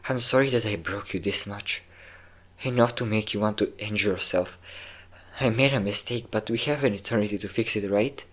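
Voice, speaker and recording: Man acting out a low-voiced, possessive vampire lover. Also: a bit bright. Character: possessive, obsessive male vampire lover, menacing and pleading. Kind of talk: intimate roleplay audio drama.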